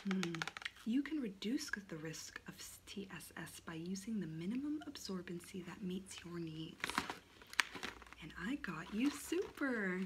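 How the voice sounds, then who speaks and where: A woman in an ASMR roleplay voicing a cheeky personified monthly period as a female aunt, whispering softly and teasing, close to the mic. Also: medium pitch, close to an everyday voice. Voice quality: soft whispering. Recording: noisy recording.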